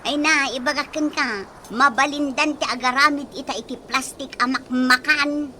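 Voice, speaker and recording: A woman's voice: sits high, fairly even intonation, moderate pace. A woman in an Ilocano radio comedy drama, playing someone fretting over new dentures. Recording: noisy background hiss.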